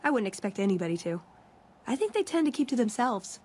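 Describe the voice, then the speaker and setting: A woman's voice: a little high, sing-song, fast, darkish tone, slightly rough. A woman playing a friendly, wry young scavenger companion in a video game, chatty and playful. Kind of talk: video game dialogue acting.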